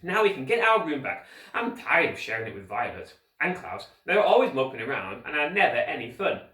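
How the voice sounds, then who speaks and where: A man's voice: mid-range voice, big pitch swings, brisk, pushed and bright. A man voicing a selfish young boy in a children's storybook, complaining bluntly. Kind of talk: children's storybook character reading. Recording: roomy echo, distant microphone.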